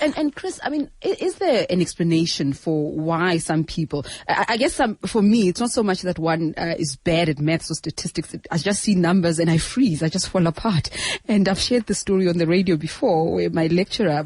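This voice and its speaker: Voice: mid-range voice, darkish tone, no echo. Radio host taking calls. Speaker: radio talk show host. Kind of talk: hosting call-in radio.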